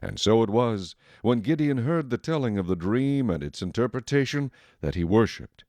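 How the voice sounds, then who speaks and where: A man narrating scripture from a script in a measured, formal manner. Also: medium pitch, lively intonation, darkish tone, dry recording.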